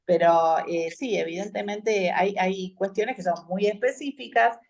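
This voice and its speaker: A woman's voice: mid-range voice, a little slow, darkish tone. A woman with an Argentine accent, a hospital clinician commenting in a conference discussion, hesitant with many fillers. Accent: Argentine Spanish.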